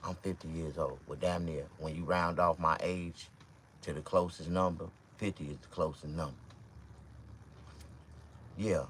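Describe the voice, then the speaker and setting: A man around fifty with a Mississippi accent, talking to camera in a loose, profane, rambling monologue with sudden loud outbursts. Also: low voice, steady tone, unhurried, long pauses, slight background noise. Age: 50s. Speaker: vlogger and stand-up comedian.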